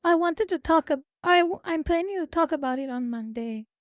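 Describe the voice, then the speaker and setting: A woman's voice: extremely high pitch, animated, fairly quick, no echo, clean studio sound. A woman streaming live, chatting casually with viewers, reading their comments and lecturing them. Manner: chatty, lecturing, reads chat aloud. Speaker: VTuber streamer.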